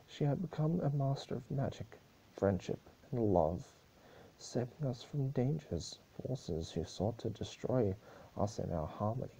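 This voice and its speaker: A man's voice: mid-range voice, lively intonation, unhurried, creaky, warm tone, slightly rough, exaggerated voice, low-quality recording. A man voicing a grieving, furious female pony ruler in a solemn, accusing speech-like manner. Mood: solemn grief.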